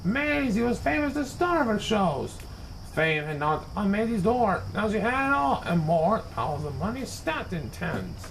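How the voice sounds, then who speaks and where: High, animated man reading a storybook. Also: measured pace, vocal fry, slight background noise. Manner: expressive, dramatic. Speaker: storybook reader. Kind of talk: theatrical rhyming children's story narration.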